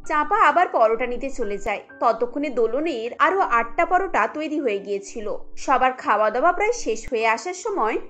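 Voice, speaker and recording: A woman's voice: high voice, animated, moderate pace, a bit bright, soft background music. A woman giving scripted narration for a cartoon story.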